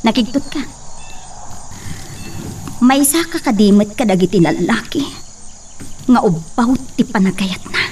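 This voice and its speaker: A woman acting a heartbroken, distrustful young woman in a romantic drama, with drawn-out emotional delivery. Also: mid-range voice, animated, darkish tone, far from the mic, slight background noise, natural voice. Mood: bitter challenge. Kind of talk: Ilocano romantic radio-style drama acting.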